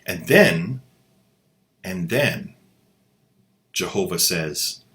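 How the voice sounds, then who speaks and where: A man's voice: sits low, animated, extremely slow, fairly bright tone. A man hosting a comedic commentary with a sarcastic, mocking manner. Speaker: host.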